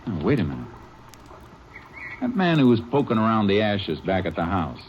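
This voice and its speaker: An actor playing a calm, methodical male lawman detective who also narrates, matter-of-fact, in an old radio drama recording. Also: on the low side, normal speed, lo-fi sound, a little hiss or hum. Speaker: Texas Ranger investigator.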